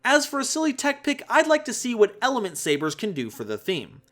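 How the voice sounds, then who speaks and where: A man presenting scripted card game commentary in an animated, playful way, with conversational asides. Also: very high voice, fairly quick. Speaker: host of a card game analysis channel.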